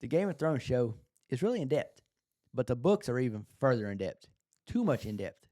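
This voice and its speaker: A man's voice: mid-range voice, sing-song, fairly quick, mellow, no echo, clean studio sound. A man co-hosting a podcast in casual chat, with an informal, matter-of-fact way of talking. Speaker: podcast co-host. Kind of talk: casual chat about books and shows.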